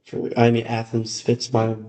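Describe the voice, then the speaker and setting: A man with a raspy voice, a musician guest chatting casually on a podcast, rambling with lots of fillers. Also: medium pitch, monotone, moderate pace, dark tone, slight background noise. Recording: roomy echo.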